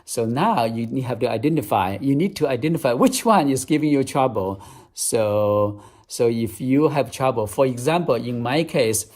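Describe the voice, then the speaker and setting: Chinese-accented man, mid-pitched, earnest English tutor, repeats himself as he explains steps. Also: expressive, moderate pace, darkish tone. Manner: earnest, step-by-step, repeats phrases. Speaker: English teacher. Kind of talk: app demonstration tutorial.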